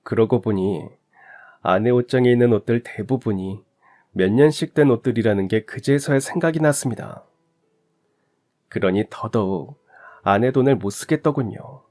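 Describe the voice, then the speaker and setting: Man, storyteller, calm, reflective, confessional, even middle pitch. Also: moderate pace, faint music behind. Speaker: story narrator. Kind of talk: scripted radio story narration.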